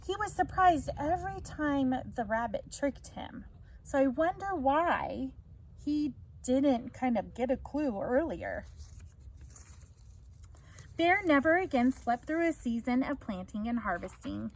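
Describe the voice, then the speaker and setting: A woman's voice: high-pitched, expressive, measured pace, poor audio. A woman teacher reading a story aloud to children, explaining as she goes and prompting them with questions.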